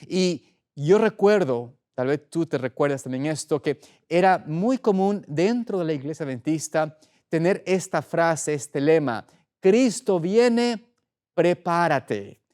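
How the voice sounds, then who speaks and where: A middle-aged man preaching as a pastor in an earnest, deliberate way, pausing for emphasis. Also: on the high side, animated, a little mellow. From Ecuador. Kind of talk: sermon, opening with prayer.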